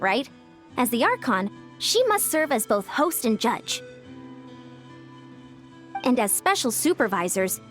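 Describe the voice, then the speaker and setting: A breezy, confident young female coach from a video game. Her high voice moves in big lively swings. Music plays underneath. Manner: upbeat, easygoing, self-assured. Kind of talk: English video game voice acting.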